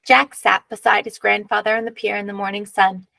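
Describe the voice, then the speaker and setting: Woman, mid pitch, creaky edge, expressive storybook narration, measured phrasing, dry close sound. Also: no echo. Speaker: picture book reader. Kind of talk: expressive read-aloud narration.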